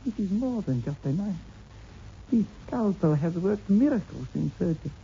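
An actor playing a sinister, spellbinding surgeon who coaxes and commands deliberately, in 1960s radio horror style. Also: male voice, high-pitched, very animated, creaky, mellow, full character voice. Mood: silky menace. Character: sinister spellbinding surgeon coaxing his victim. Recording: muffled, noisy vintage radio recording.

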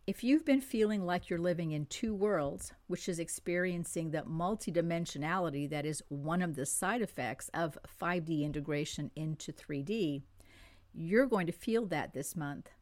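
A woman's voice: on the low side, a little mellow. French-born woman giving a spiritual forecast. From France. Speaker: spiritual teacher. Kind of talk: spiritual monthly forecast talk.

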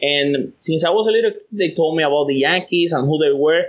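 A man's voice: on the high side, brisk, lo-fi sound. A cheerful man from Cuba with a Cuban accent, a Spanish speaker being interviewed as a podcast guest, laughing easily as he rambles. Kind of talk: casual phone interview.

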